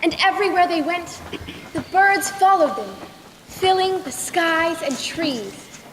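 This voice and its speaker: Casting: young girl playing clever, respectful young female storyteller, very high voice, formal stage delivery, echoing noisy hall. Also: expressive, slow pace, a bit bright, full character voice. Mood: grand narrating. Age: young teenager. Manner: formal, theatrical projection. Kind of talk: school stage play acting. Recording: echoey hall, noisy distant stage recording.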